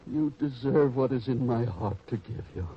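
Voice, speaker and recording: Male actor as loving elderly father, old-time radio style, declamatory yet gentle, rough-edged voice, dull vintage recording. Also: medium pitch, moderate pace, lightly acted. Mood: tender, pleading. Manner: earnest, tender, declamatory.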